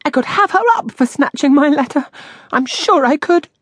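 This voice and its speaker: A stout lady lodger fallen on hard times. She is theatrical, indignant and sorry for herself, speaking in a high, swooping storybook voice with a very dry sound. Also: expressive, moderate pace, no room sound. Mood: furious muttering. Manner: theatrical, exclamatory. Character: stout down-on-her-luck lady lodger, indignant, fluttery, self-pitying.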